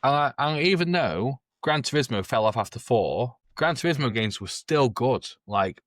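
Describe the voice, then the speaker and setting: A man's voice: mid-range voice, expressive, normal speed, a bit bright, no echo, clean studio sound. A man co-hosting a podcast, talking casually and earnestly, giving strong opinions. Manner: earnest, opinionated, restarts often. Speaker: podcast co-host. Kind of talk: casual chat.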